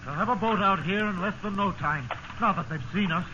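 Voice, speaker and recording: An actor playing a commanding, reassuring sea captain adrift in a lifeboat, in 1950s radio drama style. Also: male voice, high voice, fairly quick, natural voice. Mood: soothing reassurance. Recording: muffled noisy old radio recording.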